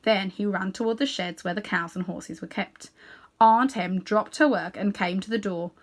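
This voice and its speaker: A woman reading a children's story aloud in an animated way, with dramatic pauses and hushed little asides. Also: mid-range voice. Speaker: storybook reader.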